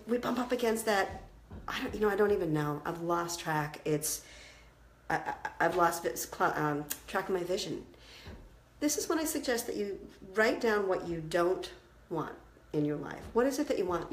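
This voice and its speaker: A woman's voice: fairly low pitch, slight background noise. Congested woman coaching in an echoey room. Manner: conversational, encouraging, with frequent restarts. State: congested from a cold. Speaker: business coach. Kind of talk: instructional talk to camera.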